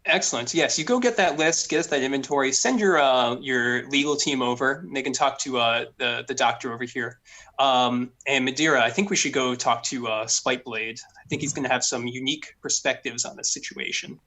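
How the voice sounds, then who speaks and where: A man's voice: medium pitch, a little fast, pushed and bright. A man voicing a stern, officious older noblewoman investigator in a tabletop game, commanding and insistent. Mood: brisk, businesslike.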